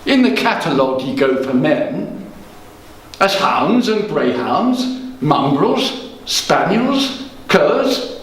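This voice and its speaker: A man performs as a guilty, scheming king in a Shakespearean tragedy on stage. His voice sits high and he declaims slowly, with bitter, brooding weight. The sound is distant, swimming in heavy room echo. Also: full character voice. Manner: slow, declamatory, theatrical. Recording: echoey room, distant microphone.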